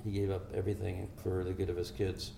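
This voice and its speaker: An older man from Hungary, a physician, giving measured, explanatory answers in an interview. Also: deep voice, monotone, creaky, mellow, a little hiss or hum. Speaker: physician guest. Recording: echoey room with faint ambience.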